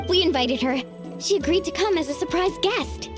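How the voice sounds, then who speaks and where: A woman's voice: extremely high pitch, expressive, moderate pace, music in the background. A woman playing an upbeat, take-charge young tribeswoman in a video game, with a breezy, encouraging manner. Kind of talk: scripted video game dialogue.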